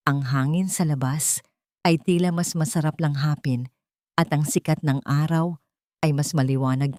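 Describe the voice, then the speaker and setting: A woman narrating a scripted dramatic audio story in a measured, solemn manner. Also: deep voice, a little mellow, dry recording, clean studio sound.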